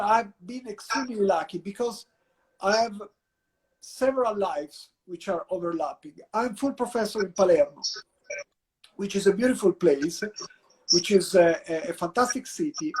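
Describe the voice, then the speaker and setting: A man's voice: sits high, darkish tone, rough recording. Casting note: Italian-accented male professor, explains in long winding sentences, faint noise behind. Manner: lecturing, discursive with restarts. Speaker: university professor, guest. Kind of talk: guest answering in an interview.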